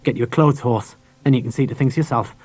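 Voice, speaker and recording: A man's voice: medium pitch, fairly even intonation, fast talker, a little mellow, slightly rough, lightly acted. A man voicing a kindly, nervous, grey-haired schoolteacher in clipped, abrupt, repetitive phrases for a storybook. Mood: nervous, brisk. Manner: clipped, abrupt short phrases, repeats himself.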